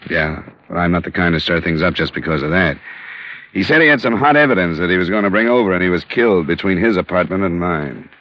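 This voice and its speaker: An actor playing a sober, dogged American insurance investigator in a 1950s radio drama, with a measured, matter-of-fact delivery. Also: male voice, low-pitched, natural voice. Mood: businesslike, insistent. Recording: dull, lo-fi old radio recording.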